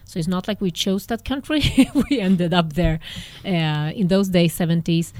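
A woman's voice: lowish voice, normal speed, darkish tone, dry recording. A woman who grew up in Sweden, a guest entrepreneur chatting casually in an interview, thinking aloud and laughing often.

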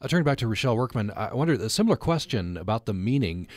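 A man hosting a conversational radio interview, speaking haltingly and repeating words as he forms his thoughts. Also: medium pitch, big pitch swings, no room sound. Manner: halting, repeats words while forming questions.

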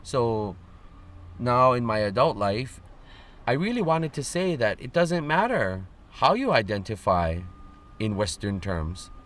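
A man from Oahu giving a measured, earnest personal account as a radio guest. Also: medium pitch, big pitch swings, a bit bright. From Oahu, Hawaii. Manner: measured, earnest, lists things in series.

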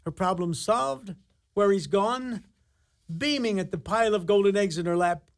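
A man's voice: high-pitched, normal speed. A man narrating a fairy-tale radio play in an ornate, dramatic, theatrical style. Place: radio studio.

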